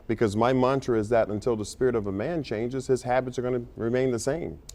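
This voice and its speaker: A man's voice: medium pitch, quick pace, darkish tone. An American man from Detroit, a playwright and director, telling his story earnestly in a conversational TV interview, feeling his way into sentences. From Detroit, United States.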